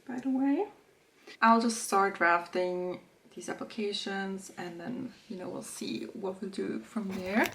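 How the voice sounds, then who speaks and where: A woman in her late twenties from Switzerland, chatting casually in a day-in-my-life vlog at home, with fillers and small restarts. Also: medium pitch. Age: 20s. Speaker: vlogger. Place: home kitchen.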